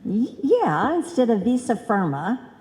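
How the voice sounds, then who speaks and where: Scatterbrained comedy wife, played by a woman: earnest, muddled, lively pitch, live hall echo. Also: measured pace, slight background noise. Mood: eager. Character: scatterbrained, trusting, chatty wife and card seller. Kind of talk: old-time radio comedy acting.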